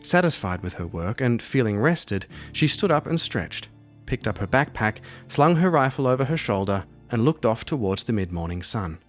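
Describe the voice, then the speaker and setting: A man's voice: medium pitch, big pitch swings, moderate pace, faint music behind. A man hosting a solo roleplaying podcast, delivering scripted commentary and narration. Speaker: podcast host and solo game master.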